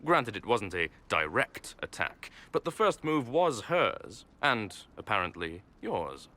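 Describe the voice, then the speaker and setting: An actor playing a smug, scheming nobleman in a video game, coolly polite, condescending and measured. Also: lowish voice, big pitch swings, sharp tone, heavily acted. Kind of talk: video game cutscene acting.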